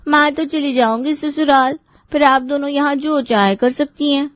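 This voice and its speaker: A woman's voice: sits high, normal speed, no echo, dull audio, lightly acted. A woman playing a spoiled young bride-to-be in a family drama, pleading and sweetly manipulative with her relatives. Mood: demanding, sweet. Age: young adult. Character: wheedling, spoiled young bride-to-be, pampered little sister. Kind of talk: Hindi family drama acting for story video.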